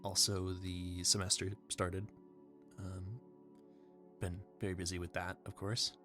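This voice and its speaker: A man's voice: low voice, flat delivery, a little slow, bright, forceful tone, faint music behind. A young man chatting casually to his viewers in an informal, hesitant and self-effacing way. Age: young adult. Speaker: amateur voice actor, channel creator.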